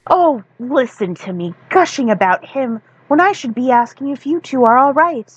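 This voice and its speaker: A woman's voice: sits high, expressive, moderate pace, husky, muffled recording, voice pushed up. A woman voicing a friendly, apologetic schoolteacher with an effusive, gushing manner in a story reading.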